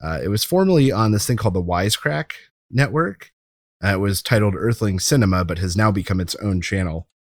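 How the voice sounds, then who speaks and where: A man with a low voice and a dry, close sound. He speaks as a podcast co-host, casually reviewing and recommending things in conversation. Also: expressive, a little fast, no room sound.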